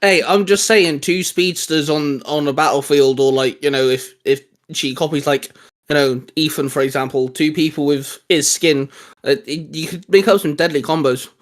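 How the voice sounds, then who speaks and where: A man's voice: a little high, fairly even intonation, normal speed. A man co-hosting a casual, geeky group discussion, jokey and informal, often probing with questions. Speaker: co-host of a fan discussion show.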